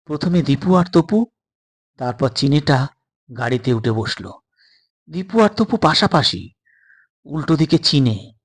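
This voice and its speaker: A man's voice: on the high side, sing-song, a little slow, pauses often, slightly rough. A man reading a scripted suspense story as its narrator.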